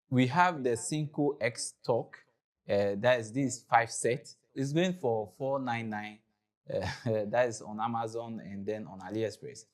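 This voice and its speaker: Male presenter, Ghanaian accent, lowish voice, informal explainer delivery for gear tutorials. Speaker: presenter of a video production tutorial. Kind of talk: informal explanatory product overview.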